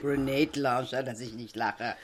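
Very low-voiced, sarcastic wife. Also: female voice, steady tone, unhurried, background noise. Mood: mocking. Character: sarcastic, unwell, tipsy wife fed up with husband. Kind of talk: theatrical dialogue acting.

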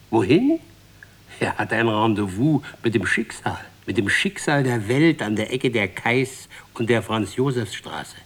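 An actor playing a solemn, impassioned storyteller in a radio play, declamatory and deliberate, repeating phrases for emphasis. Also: male voice, fairly low pitch. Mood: ominous, ironic. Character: solemn, impassioned male storyteller, a bard of fate. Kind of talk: dramatic scripted narration in a radio play.

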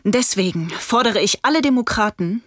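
An actress playing a righteous, eco-minded city mayor in a radio play, articulate and rhetorical. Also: female voice, medium pitch, animated, normal speed, dry recording, clean studio sound, lightly acted. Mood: formal, indignant.

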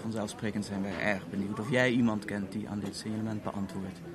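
An actor in a 1970s radio drama playing an urbane, wry French police officer who speaks in measured, formal, dryly ironic sentences. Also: male voice, lowish voice, steady tone, crowd noise, lightly acted. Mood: businesslike. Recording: noisy old radio play recording.

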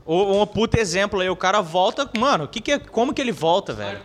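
A man's voice: high voice, very animated, fast talker, a bit bright. A man co-hosting a podcast in casual, slangy, emphatic chat. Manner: slangy, emphatic, says "mano".

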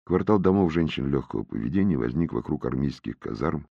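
Man voicing frank young storyteller, husky and lively. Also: a bass voice, brisk, no room sound, highs cut off, heavily acted. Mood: matter-of-fact, sombre. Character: young Russian-American man, frankly recounting his hard family past. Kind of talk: audiobook character narration.

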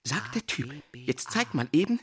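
An actress playing a musing teacher lecturing on poetry in a radio drama, incantatory and repeating syllables. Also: female voice, low voice, big pitch swings, normal speed, creaky, husky, full character voice. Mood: lecturing, poetic. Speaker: actor.

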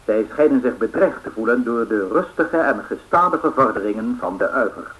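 A man's voice: medium pitch, expressive, fairly bright tone, natural voice, low-quality recording. Formal 1930s radio newsreader played by a man. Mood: formal, factual. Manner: measured, formal. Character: male radio news announcer of the 1930s reading race bulletins. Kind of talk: formal scripted news bulletin in a radio play.

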